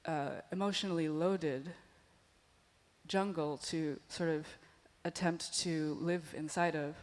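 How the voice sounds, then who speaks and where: A woman panelist giving a thoughtful, measured answer at a museum panel discussion. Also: deep voice, fairly even intonation, darkish tone. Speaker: panelist artist.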